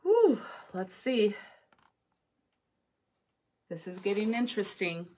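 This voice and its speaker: A woman in her thirties talking to young students as an educator, expressive and encouraging. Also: mid-range voice, slow pace. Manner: expressive, encouraging, addresses children directly. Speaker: school educator reading to students. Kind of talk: read-aloud and chat to children. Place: small school office or classroom, indoors. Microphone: phone at arm's length.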